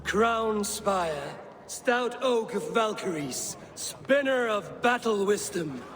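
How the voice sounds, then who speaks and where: A fearless Viking clan leader who speaks steadily, formally and with measure. His voice sits slightly high for a man. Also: expressive, slow pace, in a large hall, noisy background. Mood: steady. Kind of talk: video game cutscene acting.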